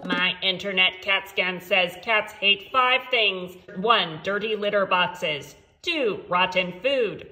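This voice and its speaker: A woman voicing a calm, literal robot sidekick in a measured, deadpan way for a children's story. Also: medium pitch, voice pushed down. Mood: deadpan, matter-of-fact. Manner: deadpan, measured, reporting facts. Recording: roomy echo with music behind.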